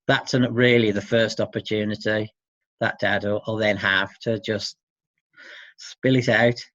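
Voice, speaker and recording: A man's voice: on the low side, gravelly, fairly bright tone. A man answering interview questions about his work in an informal, thoughtful, hesitant way. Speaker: interviewee, support service worker for dads. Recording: muffled, roomy lo-fi sound.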